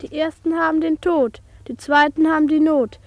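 A woman's voice: high-pitched, steady tone, moderate pace, no room sound, highs cut off. A woman playing a grave reciter of an old settlers' saying in a radio play.

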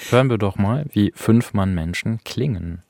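A man's voice: fairly low pitch, normal speed, gravelly, very noisy. A man hosting a conversational radio discussion of literature, reflective and tentative, rephrasing as he goes. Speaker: radio podcast host.

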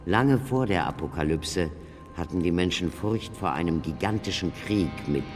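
Learned scholar, deep voice, grave, lecturing, radio drama, long echoing reverb. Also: male voice, expressive, faint music behind. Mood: grave explaining. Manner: measured, lecturing. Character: learned male scholar, grave, knowing, explanatory. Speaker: actor. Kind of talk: radio play acting.

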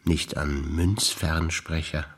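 An older man, a stage actor reciting memories in a rhythmic, deliberate listing manner with pauses. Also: very deep voice, fairly even intonation, a bit bright, slightly rough.

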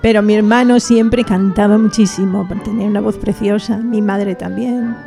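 A middle-aged woman with a Castilian Spanish accent, chatting as a guest in a radio studio. Her voice is dark and mellow with a gravelly edge. She reminisces warmly and laughs easily, with faint music playing behind her. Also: medium pitch, fairly even intonation, normal speed. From Spain. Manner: warm, reminiscing, laughs easily, some restarts. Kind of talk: casual radio chat.

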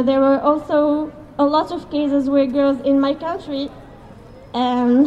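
Young woman speaking out, high slow voice, hesitant, dull recording with noise. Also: dark tone. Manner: hesitant, earnest. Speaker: speaker giving personal statement. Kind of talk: spontaneous personal speech. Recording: muffled, noisy recording.

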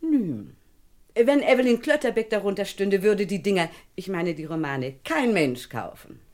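An actress playing a scatterbrained, unflappable elderly lady novelist, chatty, brisk and breezily unconcerned, in a comic crime radio play. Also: mid-range voice, expressive, a little mellow, close to an everyday voice. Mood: proud, dismissive. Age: older.

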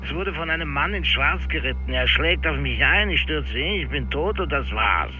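Schauspieler als ängstlicher Kommandant, telefonartig dünner Klang. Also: male voice, on the high side, expressive, normal speed, phone-quality sound, soft background music, close to an everyday voice. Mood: fearful, brooding. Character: anxious, cautious male police commandant. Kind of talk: radio drama acting.

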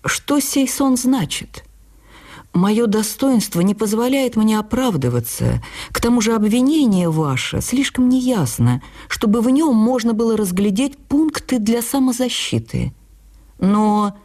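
A woman's voice: medium pitch, mellow. Wry, wounded man of letters answering by post, proud and ironic, radio drama female performer. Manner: dignified, reproachful, ironic. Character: proud, wry male writer replying by letter.

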